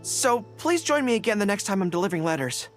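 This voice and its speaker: A woman's voice: mid-range voice, big pitch swings, quick pace, natural voice. A woman voicing an earnest, polite, easily flustered young male dog-eared general in a video game. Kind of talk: English video game voice acting. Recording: music under the voice. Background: game music.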